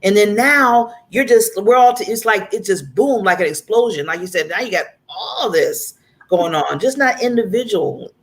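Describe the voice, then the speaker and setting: A woman's voice: medium pitch, lively intonation, moderate pace. A woman hosting a talk show who speaks with emphatic, colloquial enthusiasm and laughs often.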